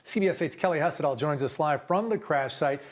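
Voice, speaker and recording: A man's voice: a little high, fast talker. A man with an American accent presenting TV news as an anchor.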